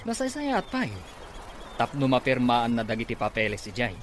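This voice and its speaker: A man's voice: mid-range voice, huge pitch swings, moderate pace. Scheming Ilocano man, noisy recording. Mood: impatient. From Philippines. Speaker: radio drama actor. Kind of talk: radio comedy drama acting. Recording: clearly noisy background ambience.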